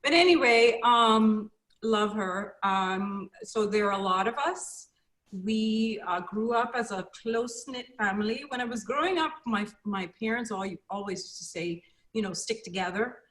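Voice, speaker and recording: A woman's voice: on the high side, measured pace, echoey room, rough recording. Woman from the Bahamas telling her story. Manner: warm storyteller, laughs easily, hesitant fillers. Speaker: interview guest. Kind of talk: casual video-call interview.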